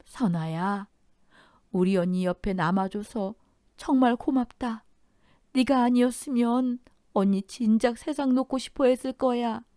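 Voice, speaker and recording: A woman doing a bubbly older auntie, talking up high and loud with lots of swing in her voice, recorded close and dry with no room sound at all. she sounds warm and emotional, like family at the kitchen table. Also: measured pace, no room sound, natural voice. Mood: tearful.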